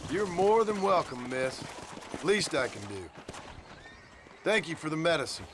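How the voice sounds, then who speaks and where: A man acting a laconic, guarded gunslinger in a Western video game, deadpan and polite. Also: on the high side, measured pace. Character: laconic, courteous male gunslinger, hard-bitten and guarded. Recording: loud outdoor horse and wagon noise. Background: hoof clops, wagon ride.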